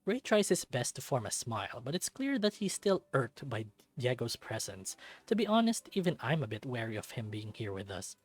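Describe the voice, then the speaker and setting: Animated man reading a story aloud. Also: mid-range voice, normal speed, hardly any pauses, husky, dry recording. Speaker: visual novel narrator and reader. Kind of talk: dramatic first-person story reading with character voices.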